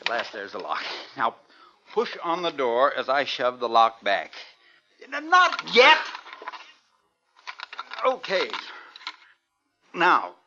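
A man's voice: medium pitch, huge pitch swings, slow pace, long pauses, pushed and bright, faint music behind, exaggerated voice. An actor playing an irritable, accusing young husband and father who is easily wounded, in a naturalistic domestic drama. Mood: tense, straining. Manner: testy, accusing. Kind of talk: naturalistic dramatic acting of a marital quarrel. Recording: noisy, dull lo-fi old recording.

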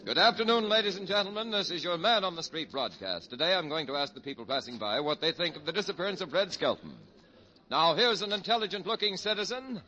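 A man's voice: high-pitched, expressive, fast talker, pushed and bright, highs cut off. An actor playing a cheerful, polite man-on-the-street interviewer, announcer-like and amused, in an old-time radio comedy sketch. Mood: brisk, formal. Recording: noisy old radio recording.

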